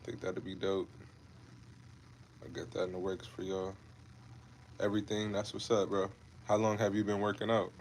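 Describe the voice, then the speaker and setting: A man's voice: low-pitched, little pitch movement, moderate pace, pauses often, a little hiss or hum, poor audio. A man in his twenties from Ohio, chatting casually with viewers on a fitness livestream, laid-back and repetitive, calling them bro and man. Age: 20s. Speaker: fitness creator hosting a livestream.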